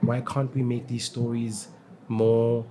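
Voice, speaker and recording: A man interviewing conversationally, haltingly repeating words as he forms questions. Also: mid-range voice, little pitch movement. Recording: echoey room, clear background noise.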